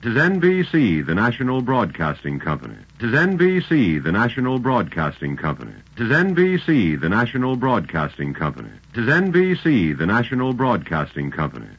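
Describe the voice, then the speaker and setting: A male network announcer from 1940s radio, giving a crisp, sing-song station identification. His voice is husky, and its pitch swings widely. The recording is dull and lo-fi. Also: wildly swooping pitch, brisk, few pauses.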